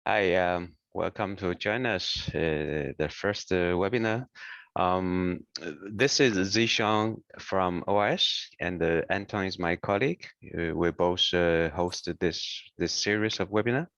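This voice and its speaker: A man who speaks English as a second language, hosting an informal online tutorial in a careful, halting way. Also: sits low, a bit bright. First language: not English. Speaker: webinar host and software trainer. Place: indoor room, online webinar. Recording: muffled, roomy online call audio.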